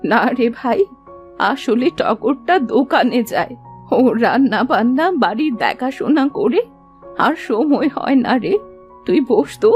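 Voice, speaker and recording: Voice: medium pitch, animated, unhurried, soft background music, natural voice. A woman voicing a caring, fretful old village grandmother in a cartoon story, coaxing and affectionate. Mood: affectionate, welcoming.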